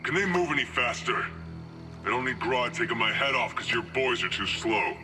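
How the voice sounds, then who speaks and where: An actor playing a gruff, impatient mercenary gunman in a video game, curt and complaining. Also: medium pitch, moderate pace, music in the background, close to an everyday voice. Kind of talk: video game cutscene acting.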